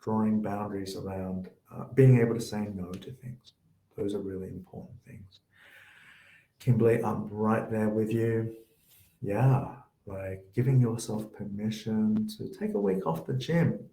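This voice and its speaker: Soft-spoken male workshop host, low mellow tone, echoey room. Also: a little slow, creaky. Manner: gentle, reflective, with fillers. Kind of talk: online workshop Q&A.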